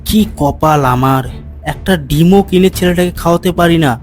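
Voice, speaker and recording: A man voicing a poor, gentle farmer father in a cartoon story, with a plaintive, pleading manner. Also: fairly high pitch, moderate pace, close to an everyday voice. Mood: resigned. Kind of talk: cartoon moral story dialogue. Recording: music under the voice.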